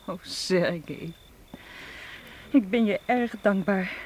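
A woman acting an impulsive, anxious young woman who guards a secret in a radio drama. She sounds earnest and defensive at a medium pitch. Crickets chirp noisily around her. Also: slow, lightly acted. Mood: grateful, evasive. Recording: clear noise, outdoor night insect ambience.

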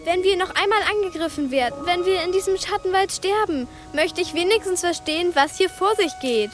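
A woman's voice: very high-pitched, fairly quick, soft background music. An actress playing a plucky, curious twelve-year-old girl in a radio play, direct and insistent. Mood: urgent, demanding. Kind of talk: radio play acting and narration.

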